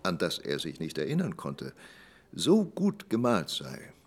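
A man narrating a literary radio drama in a measured, deliberate storytelling manner. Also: sits low, wildly swooping pitch.

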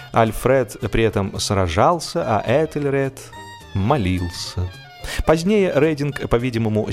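A man reading a humorous story as scripted audiobook narration, expressively, drawing out the ends of his phrases. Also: medium pitch.

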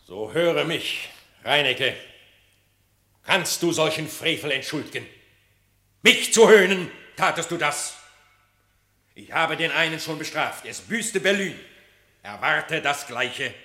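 A stern animal monarch presiding over his court in a classic radio drama. The voice is bright and forceful, a little high, commanding and declamatory, ringing in a roomy space. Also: expressive, slow pace, pauses often, exaggerated voice. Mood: indignant. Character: stern, imperious animal king sitting in judgment. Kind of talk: classic German radio drama verse acting.

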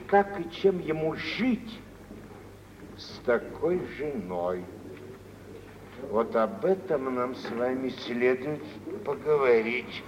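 An actor playing a self-important, wealthy older gentleman, pompous and deliberate, in an old theatrical radio drama. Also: a little high. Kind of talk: 1940s theatrical radio acting. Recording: dull, noisy vintage radio play recording.

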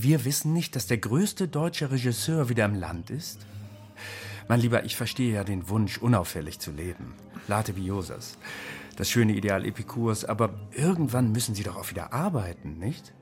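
An actor playing a Nazi ministry official in a radio drama, falsely courteous, smug and insinuating. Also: male voice, on the low side, expressive, moderate pace, a little mellow, faint music behind, lightly acted. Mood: smug, taunting.

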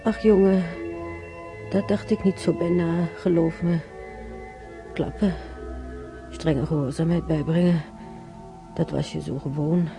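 An actress playing a regretful old mother in a radio drama, halting and brooding, with a husky, creaky voice. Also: lowish voice, fairly even intonation, music in the background. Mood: regretful, musing. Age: older. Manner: halting, brooding, repeating herself. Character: regretful old mother remembering beating her stubborn son.

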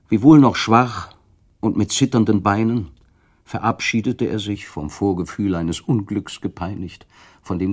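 Radio play narrator, man, lowish voice, measured, expressive literary delivery. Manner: measured and expressive, rising with the drama.

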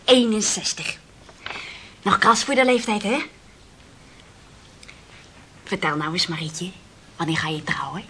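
A woman's voice: on the low side, expressive, unhurried, long pauses, bright, forceful tone, slight background noise, exaggerated voice. An actress playing a pert, teasing, outspoken young woman in a Dutch radio play.

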